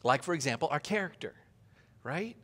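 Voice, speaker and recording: A man's voice: a little high, lively intonation, normal speed, a bit bright. A man preaching a conversational sermon as a pastor, chatty and joking, asking the audience questions. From Austria. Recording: long reverb of a large hall.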